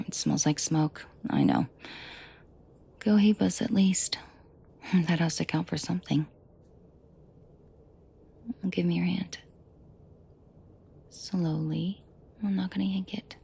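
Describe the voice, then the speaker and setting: A woman playing a protective mafia boss in an intimate audio drama, soft and breathy with frequent whispering, commanding and dryly teasing. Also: fairly low pitch, fairly even intonation, slow pace, long pauses, heavily acted. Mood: tender, teasing. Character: protective female mafia boss, menacing to henchmen, tender to victim.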